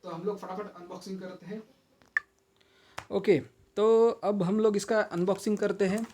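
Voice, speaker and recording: A man's voice: high-pitched, steady tone, moderate pace, mellow, breathy edge. A man with an Indian accent giving a casual, hesitant unboxing explanation as a video host, instructing and repeating his reminders. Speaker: video host and product reviewer.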